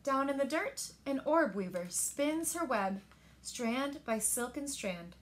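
A woman in her thirties reads picture books aloud to young children with expressive, deliberate phrasing, recorded indoors in a living room. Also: sits high, slight background noise. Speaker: storyteller at a library story time.

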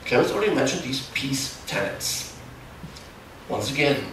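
A middle-aged man with a German accent giving a technical workshop talk in a large hall, explaining in a halting, self-correcting way. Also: medium pitch, animated, a bit bright. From Germany, near the Dutch border. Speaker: conference speaker. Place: large darkened conference hall. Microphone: far camera. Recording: echoey hall, distant mic, background noise.

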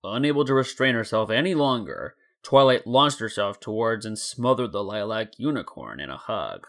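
A man's voice: lowish voice, lively intonation, moderate pace, fairly bright tone. A man in his twenties reading a scripted story as a dramatic narrator. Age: 20s. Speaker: story narrator and channel host.

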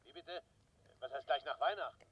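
An actor playing a courteous senior police official, a knighted gentleman, speaking through a telephone filter in a German radio play. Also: a little high, normal speed. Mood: baffled. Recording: dull, band-limited telephone line.

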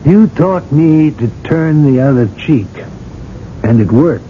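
An actor playing a dignified, patient older cardinal in a radio drama, speaking in a courteous, measured, gently ironic way. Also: male voice, fairly high pitch, animated. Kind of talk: 1980s radio drama acting. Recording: muffled, noisy old radio broadcast.

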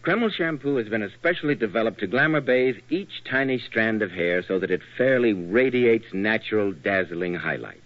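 Animated old-time radio ad man. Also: on the low side, moderate pace, hardly any pauses, no room sound. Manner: polished, salesmanlike. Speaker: radio announcer. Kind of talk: 1940s radio commercial. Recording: dull old radio recording.

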